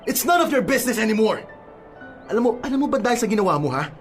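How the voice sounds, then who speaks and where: A man's voice: high-pitched, sing-song, fast talker. An actor playing an exasperated, anguished young man with a Filipino accent in an earnest radio drama style, mixing in English. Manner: earnest, emphatic, switches between Tagalog and English. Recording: music bed under the dialogue. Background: music.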